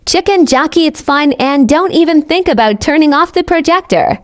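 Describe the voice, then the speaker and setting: A woman making loud, blunt and bossy heckling interjections. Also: sits high, normal speed, close to an everyday voice.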